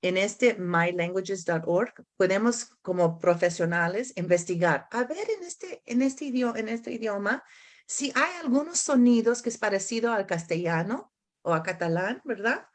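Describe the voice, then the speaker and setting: Slow-speaking woman. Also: medium pitch. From United States. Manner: halting, searching for words in a second language; often seeks agreement with tag questions. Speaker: academic expert giving a talk. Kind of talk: explanatory answers in a conference discussion.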